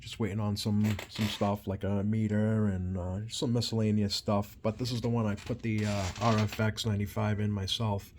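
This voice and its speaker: A man giving a casual hands-on demonstration as a repair technician, talking in a hesitant, rambling way with many ums and pauses. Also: on the low side, level tone, darkish tone. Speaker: CB radio repair technician hosting a video. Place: repair workbench indoors. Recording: noisy room tone behind voice.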